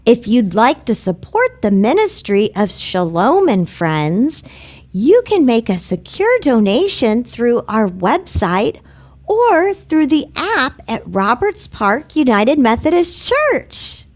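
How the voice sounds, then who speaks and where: A woman hosting a children's show, explaining a lesson simply and deliberately, with pauses. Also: a little high, very animated, a little mellow.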